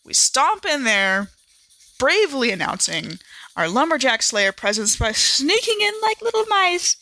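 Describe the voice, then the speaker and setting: A woman's voice: high-pitched, big pitch swings, measured pace, sharp tone, rough recording. A woman who is a podcast regular, talking casually and recounting a story in the first person.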